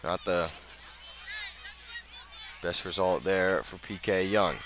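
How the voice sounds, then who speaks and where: A man calling live American football play-by-play for radio, moving between measured narration and raised, excited calls. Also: deep voice. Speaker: play-by-play sports commentator. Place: outdoors at a high school football field. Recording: noisy outdoor stadium, live broadcast.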